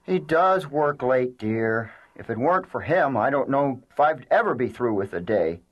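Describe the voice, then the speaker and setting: A man's voice: mid-range voice, animated, moderate pace, dull audio, natural voice. A man playing a put-upon, resigned middle-manager husband in an audio drama, weary and defensive.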